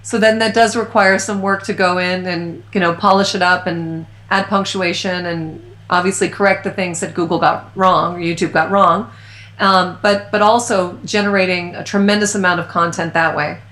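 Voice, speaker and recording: A woman's voice: lowish voice, fairly even intonation, moderate pace, fairly bright tone. Enthusiastic woman interviewer, roomy echo. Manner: enthusiastic, affirming. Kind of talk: interview conversation.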